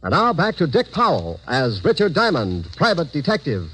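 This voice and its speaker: Animated American old-time radio announcer, male. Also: a little high, moderate pace, rough recording. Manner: earnest, formal. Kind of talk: 1940s radio announcing, scripted.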